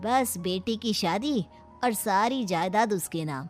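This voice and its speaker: A woman playing a wealthy older father of a bride in a cartoon moral story, courteous and measured. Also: mid-range voice, expressive, faint music behind.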